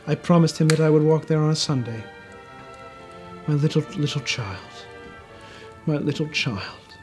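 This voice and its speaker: A mild, loving father in mourning, as a male narrator plays him. He speaks tenderly and wistfully in a fairly high male voice, in a storybook reading with faint music. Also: normal speed, close to an everyday voice. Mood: tender grief. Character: Bob Cratchit, mild loving bereaved father.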